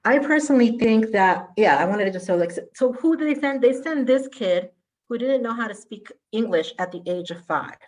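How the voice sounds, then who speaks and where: A middle-aged woman from Colombia, a native Spanish speaker, giving a conference keynote with animated, self-deprecating asides. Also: fairly high pitch, moderate pace.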